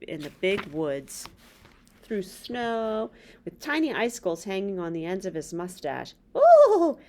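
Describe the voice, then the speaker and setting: A woman's voice: lowish voice, animated, a little slow. A middle-aged woman hosting a children's read-aloud, reading a story and adding casual asides. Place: small indoor room with bookshelves.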